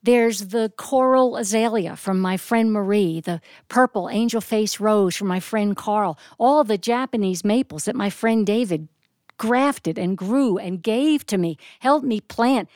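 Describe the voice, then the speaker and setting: An American woman telling a story live on stage in an expressive, descriptive manner with deliberate phrasing. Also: mid-range voice, dry recording, clean studio sound. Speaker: storyteller and actress. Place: performance studio.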